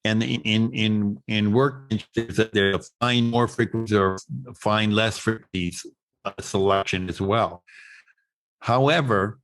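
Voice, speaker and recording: Low-voiced man explaining technical material haltingly. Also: steady tone, a bit bright, low-quality recording. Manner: halting, with repeated words and stop-start phrasing. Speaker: instructor presenting at an online summit. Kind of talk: technical explanation, webinar talk.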